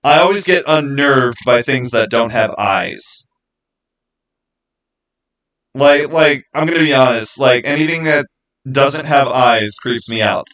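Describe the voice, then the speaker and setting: A man with a mid-pitched voice hosts a casual livestream from a small home studio. He talks to his viewers in a loose, rambling way, often doubling back to repeat words for emphasis. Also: unhurried, fairly bright tone, low-quality recording. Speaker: YouTube creator and livestream host.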